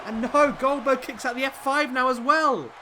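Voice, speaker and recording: A man, sniffly with a cold, commentating a wrestling video game play by play and getting excited at big moves. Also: very high voice, moderate pace, noisy background.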